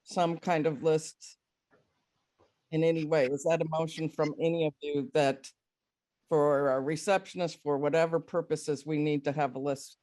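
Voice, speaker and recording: A woman speaking in an online board meeting, measured but stumbling at the start of her points. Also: low-pitched, steady tone, mellow. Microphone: video call.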